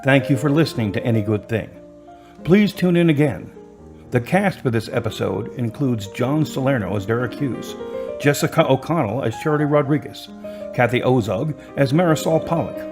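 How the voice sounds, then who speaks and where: A man's voice: mid-range voice, lively intonation, normal speed. A man giving scripted narration as the narrator and announcer of a radio drama. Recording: music behind the narration. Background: music.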